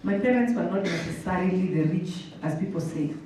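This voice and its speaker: A woman's voice: fairly low pitch, fairly quick, mellow, a little hiss or hum. A woman in her thirties with a Kenyan accent gives a motivational talk to students, emphatic, full of questions and repetition. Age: 30s. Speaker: motivational workshop speaker. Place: indoor workshop hall. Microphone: handheld microphone. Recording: roomy hall, distant, music behind.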